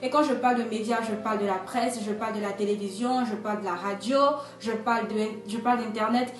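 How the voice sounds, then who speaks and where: A woman's voice: medium pitch, fairly even intonation, fast talker. A woman from Benin, interviewed, explaining her points one by one in an emphatic, structured way and often checking in with "d'accord". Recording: echoey room, distant mic, faint music.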